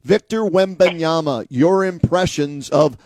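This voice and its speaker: Higher-pitched man hosting a dry-sounding interview. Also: measured pace, darkish tone, no echo. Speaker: sports radio host, interviewer. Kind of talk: casual sports interview.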